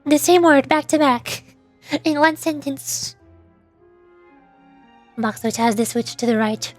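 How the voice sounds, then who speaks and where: High-voiced woman streaming a game. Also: slow. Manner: playful, hesitant thinking aloud. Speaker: streamer voice-acting along with a video game. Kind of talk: casual chatty game commentary. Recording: music behind the voice. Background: game music.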